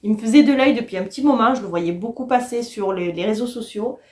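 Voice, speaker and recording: A woman's voice: a little high, fast. A woman, chatting casually and enthusiastically to the camera as a book vlogger. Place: small furnished room at home, indoors.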